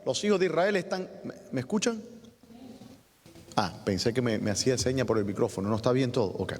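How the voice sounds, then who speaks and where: A Cuban-accented man preaches from the pulpit. He reads scripture aloud and stops to explain it to the congregation. His mid-pitched voice rings out with a long reverb in a church hall. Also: expressive, a little fast, a little mellow. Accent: Cuban Spanish. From Cuba. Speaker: pastor. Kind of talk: sermon with scripture reading. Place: church sanctuary.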